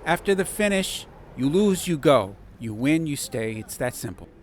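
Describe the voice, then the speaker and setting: A man voicing an excitable, friendly young street-race starter with slangy, eager talk in an audiobook story. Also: a little high, normal speed, very noisy. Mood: matter-of-fact.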